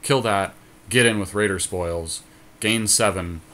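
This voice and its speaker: Low-voiced man, noisy casual game commentary. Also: a little slow. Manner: deliberating aloud in short fragments. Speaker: podcast co-host.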